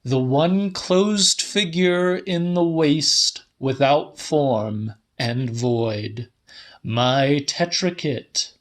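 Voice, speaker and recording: Audiobook role: male reader as an impassioned professorial philosopher, raised pitch, slow emphatic declaiming. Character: impassioned, lovesick, grandiloquent male philosopher lecturing his pupil.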